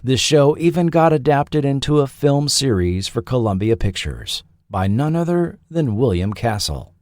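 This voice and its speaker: A man narrating a scripted documentary in a deliberate, dramatic storytelling manner. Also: mid-range voice, sing-song, a little mellow, no echo, studio quality. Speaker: host and narrator.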